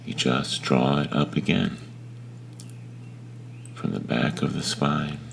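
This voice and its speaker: A man leading a guided meditation in a gentle, unhurried manner with long pauses between phrases. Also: rumbling low, fairly even intonation, gravelly, slight background noise. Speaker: meditation teacher.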